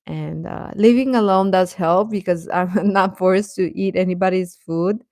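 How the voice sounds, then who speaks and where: A woman's voice: on the low side, unhurried, darkish tone, dry recording, clean studio sound. A woman chatting casually as a livestream host, talking directly to viewers and often repeating her phrases.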